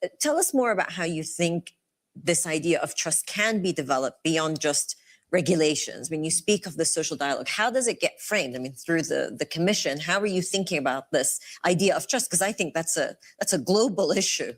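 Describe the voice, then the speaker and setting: A woman moderates a conference panel and asks polite, open questions. Her voice sits on the low side. She hands the floor to others smoothly. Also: animated, brisk. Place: conference stage.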